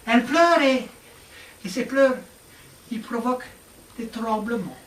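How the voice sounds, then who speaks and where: A man playing a high-voiced, naive stage clown. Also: a little slow, fairly bright tone. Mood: poetic. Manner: theatrical, declamatory. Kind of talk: live stage comedy act. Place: theatre stage. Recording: roomy theatre, from far off, with music.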